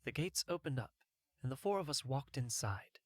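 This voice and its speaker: A man's voice: mid-range voice, expressive, normal speed, no room sound, clean studio sound. A man narrating a first-person fantasy audiobook in a scripted storytelling style.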